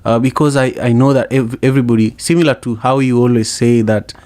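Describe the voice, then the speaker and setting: A man's voice: medium pitch, steady tone, brisk, darkish tone. A man hosting a podcast interview, speaking in a reflective, conversational way. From Africa. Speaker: podcast host.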